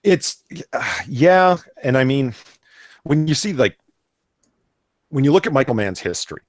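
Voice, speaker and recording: A man's voice: mid-range voice, lively intonation, a little slow, no echo, clean studio sound. A man co-hosting a live comics chat show, talking in a laid-back, chatty way. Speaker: podcast co-host. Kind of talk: casual live-stream chat.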